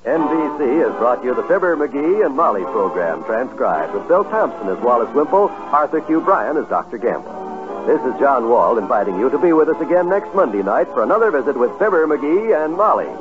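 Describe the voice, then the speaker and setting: Animated 1950s radio announcer man, tinny. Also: medium pitch, a little fast, over loud music. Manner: formal, stately.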